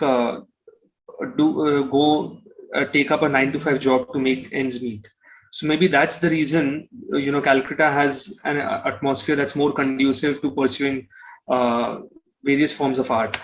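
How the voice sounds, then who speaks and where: A man's voice: medium pitch, steady tone, unhurried, low-quality recording. A man from Kolkata with an Indian accent, answering interview questions casually, often circling back over his phrasing with "you know". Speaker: musician interview guest.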